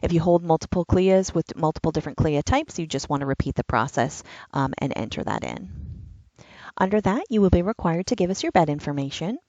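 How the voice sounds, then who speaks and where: A woman's voice: sits low, lively intonation, fairly quick, darkish tone, dry recording, lo-fi sound. A woman giving a patient, step-by-step walkthrough of an online application form. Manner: step-by-step instructional, plain and patient. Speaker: enrollment trainer. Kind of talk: screen-recorded software walkthrough.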